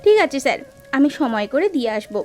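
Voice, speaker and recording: A woman's voice: sits high, sing-song, fast, a little mellow, soft background music. A woman voicing Puja, an earnest young village woman in a cartoon, talking respectfully to her teacher. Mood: eager. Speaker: village woman collecting funds.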